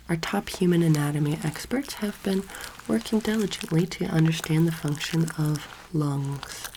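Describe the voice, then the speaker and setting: A young woman playing a patient, earnest alien anatomy teacher in a soft-spoken ASMR roleplay, breathy and often whispering, measured and instructive. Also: low-pitched, low-quality recording. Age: young adult. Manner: measured, instructive, gently authoritative. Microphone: close microphone. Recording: clear background noise, layered clicking sounds.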